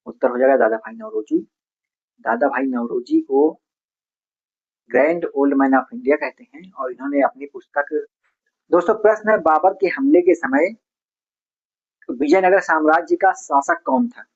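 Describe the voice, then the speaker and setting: A man's voice: fairly high pitch, slightly rough, highs cut off. A man teaches an exam lesson in question-and-answer form, explaining each answer in a didactic way. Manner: didactic, explanatory, addresses listeners as friends. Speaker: history teacher for exam preparation.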